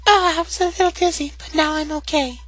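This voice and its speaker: A man's voice: very high voice, fairly even intonation, fairly quick, bright, forceful tone, no echo. A man voicing a friendly, very girly character in a video game. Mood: sweet.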